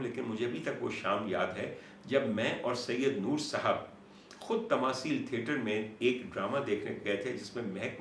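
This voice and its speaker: A Pakistani-accented man presenting an explanatory monologue to viewers as host, in a deliberate, dramatic manner with repetition for emphasis. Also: mid-range voice, fairly bright tone. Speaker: host and doctor presenting a talk show. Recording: roomy, distant mic with faint noise.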